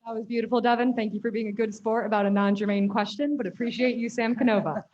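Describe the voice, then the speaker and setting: A woman's voice: on the high side, steady tone, fast talker, a little mellow, highs cut off. A young woman chairing a student government meeting, effusive and upbeat, heaping praise on speakers. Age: young adult.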